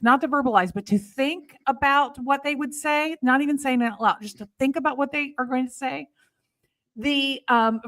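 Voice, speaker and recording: Higher-voiced woman hosting a podcast, dry sound. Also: fairly bright tone, no room sound. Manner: explanatory, thinks aloud with many fillers. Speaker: podcast host. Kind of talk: podcast interview.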